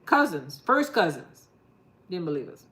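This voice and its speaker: A woman's voice: fairly low pitch, big pitch swings, measured pace, a bit bright. A middle-aged woman from New York chatting casually to her gardening channel, rambling and laughing often. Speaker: gardening vlogger. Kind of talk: casual chat to camera.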